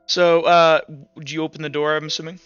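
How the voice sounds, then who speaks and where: A man's voice: fairly high pitch, flat delivery, brisk, a bit bright, clear, resonant tone, soft background music. A man narrating as game master of a tabletop game, rambling with lots of fillers. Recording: dull lo-fi recording.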